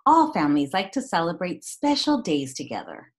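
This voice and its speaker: A woman's voice: on the low side, huge pitch swings, moderate pace. A woman in her thirties speaking as a teacher to young children, reading a picture book aloud and talking about it in simple phrases. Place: small indoor room at home.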